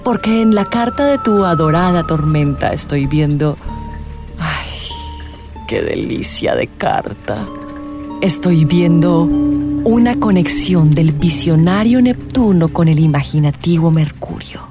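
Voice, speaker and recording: Theatrical, slow-talking woman astrologer. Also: low voice, animated, heavily acted. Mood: rapturous, suspenseful. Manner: dramatic, suspenseful drawn-out delivery with whispers. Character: theatrical, eccentric woman astrologer and witch, gushing and flirtatious. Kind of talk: radio drama acting. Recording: music playing behind the voice.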